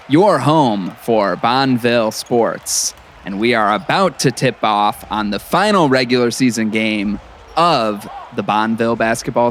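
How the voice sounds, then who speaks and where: A man's voice: mid-range voice, fairly bright tone. A man playing an earnest small-town radio play-by-play announcer in a comedy sports broadcast. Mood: focused, excited. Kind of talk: sports commentary in audio comedy. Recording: crowd and gym noise behind. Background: crowd, bouncing basketball.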